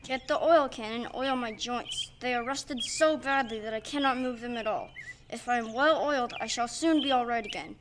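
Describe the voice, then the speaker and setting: A woman playing a gentle, polite man made of tin in a stage audio play, speaking in a measured, formal, earnest way. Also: a little high, background noise, close to an everyday voice. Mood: pleading. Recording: live stage recording with music underneath.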